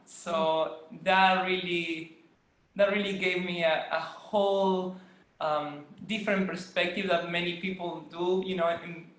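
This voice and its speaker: Woman in an echoey room. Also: fairly low pitch. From Argentina. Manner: warm storytelling with frequent ums and soft laughs. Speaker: guest, dancer. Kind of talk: casual interview chat.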